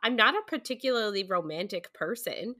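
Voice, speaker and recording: Woman chatting, bright forceful voice. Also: medium pitch, animated, brisk. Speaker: book podcast co-host. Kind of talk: casual book discussion.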